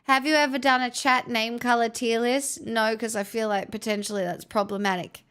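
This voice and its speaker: A woman's voice: on the high side, steady tone, normal speed, a bit bright, smooth. A woman hosting a livestream, chatting casually with viewers in a playful, teasing way. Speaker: livestream host.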